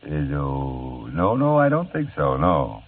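A man's voice: extremely low pitch, wildly swooping pitch, slow pace, exaggerated voice. An actor playing a wry, easygoing male private eye who narrates his own case in a laid-back, hard-boiled way, in 1950s radio drama style. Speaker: narrator and lead character. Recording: tinny old radio broadcast with music.

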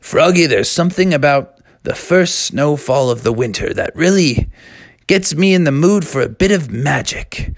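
A man voicing a folksy, easygoing father frog with an expressive storybook delivery. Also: on the high side, normal speed, dry recording, clean studio sound. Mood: playfully mysterious.

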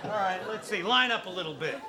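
Blunt sleazy Broadway producer. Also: male voice, sits high, expressive, fast, a crowd in the background. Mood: brusque. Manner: blunt, dismissive, crude. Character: gruff male Broadway producer running auditions.

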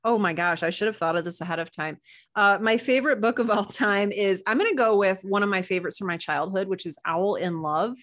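A woman's voice: medium pitch, brisk, a bit bright. A woman chatting casually and informally as an interview guest, with emphatic little asides. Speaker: podcast guest, author.

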